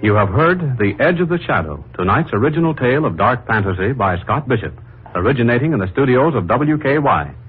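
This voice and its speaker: A man's voice: mid-range voice, sing-song, brisk, narrow, tinny audio, faint music behind. A man reading scripted credits and previews as a formal, dramatic old-time radio announcer. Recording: thin, band-limited vintage radio recording.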